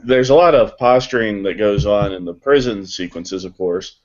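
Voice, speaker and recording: A man's voice: fairly low pitch, moderate pace. A man speaking as a voice actor in a casual panel chat, recorded over a lo-fi connection. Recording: dull lo-fi voice call.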